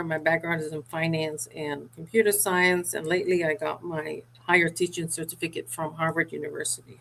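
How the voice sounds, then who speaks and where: A woman's voice: on the low side, fairly bright tone. A middle-aged woman from Sierra Leone, answering as an interviewed author in an earnest, explanatory manner. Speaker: author and mortgage professional being interviewed. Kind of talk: interview answer about own career and book.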